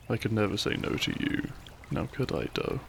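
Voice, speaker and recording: A man playing a cheeky, tender and protective young bandit outlaw in an intimate romantic audio roleplay, speaking in a gentle, courtly, teasing way. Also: on the low side, gravelly, a little hiss or hum. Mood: teasing, adoring.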